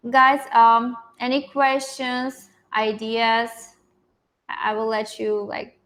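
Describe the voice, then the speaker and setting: A woman's voice: a little high, level tone, slow, fairly bright tone. A woman coaching a group informally on an online call in an encouraging, affirming manner.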